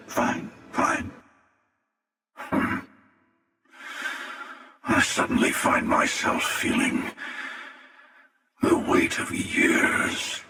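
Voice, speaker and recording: Gravelly, echoing, menacing game hunter. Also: male voice, extremely high pitch, fairly bright tone, full character voice. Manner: sardonic, taunting, measured delivery. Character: menacing, smug, world-weary older male multiverse-hopping hunter. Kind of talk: video game character dialogue. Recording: echoing long reverb, distant-sounding.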